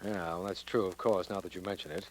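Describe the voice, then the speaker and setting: Fast-talking, businesslike district attorney played by a man. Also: deep voice, fairly even intonation, dry recording, close to an everyday voice, poor audio. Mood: urgent, matter-of-fact. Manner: brisk, matter-of-fact. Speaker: actor in a detective radio drama. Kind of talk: 1940s radio acting.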